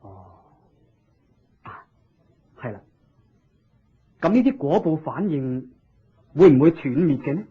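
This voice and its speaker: An actor playing a humble, eager king in a Cantonese radio drama, with a husky voice, a Hong Kong accent and deferential, expressive exclamations. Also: on the high side, slow, pauses often, heavily acted. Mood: curious. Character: humble, eager king seeking Buddhist teaching. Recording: dull lo-fi radio drama recording.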